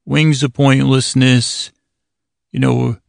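A man with a gravelly, creaky voice hosts a bedtime podcast, recorded close and very dry. He wanders through his monologue in a stop-and-start way, stretching out words to soothe listeners toward sleep. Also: mid-range voice, little pitch movement, no echo. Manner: meandering, stop-and-start, drawn-out soothing delivery. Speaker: podcast host.

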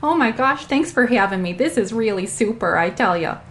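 A woman playing a chatty, folksy artist, rambling with drawn-out exclamations in an interview. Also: fairly high pitch, expressive, a bit bright, roomy sound. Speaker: interview guest.

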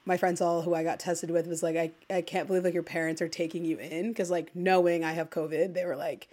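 A woman's voice: fairly low pitch, level tone, brisk, darkish tone. A woman co-hosting a podcast, talking in a casual, chatty way full of fillers, a little congested as she recovers from illness. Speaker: podcast co-host. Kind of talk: casual chat.

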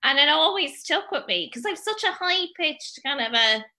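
A middle-aged Irish woman chats as a podcast guest, animated and teasing. Her high-pitched voice is bright and sharp. Her pitch jumps around as she talks. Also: normal speed. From Ireland. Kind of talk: casual chat.

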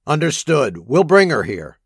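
A man's voice: fairly high pitch, big pitch swings, measured pace, vocal fry, husky, no echo, clean studio sound, full character voice. A man playing an obedient, businesslike hired criminal in his forties in an audio drama. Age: 40s. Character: husky middle-aged hired kidnapper, obedient and businesslike.

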